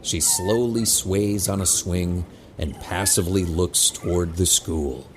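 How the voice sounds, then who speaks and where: A man's voice: low voice, a little slow, slight background noise. A man reading scripted narration for an audio drama as its narrator. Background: music.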